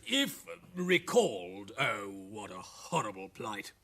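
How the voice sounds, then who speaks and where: A man's voice: on the low side, huge pitch swings, slow pace, a loud crowd, full character voice. A man playing a timid, self-pitying politician in a satirical radio drama, declaiming with mock woe. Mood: woeful.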